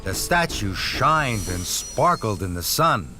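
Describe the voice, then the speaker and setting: Slow, lively male children's storyteller. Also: fairly low pitch, soft background music. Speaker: storyteller narrator. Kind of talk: scripted children's story narration.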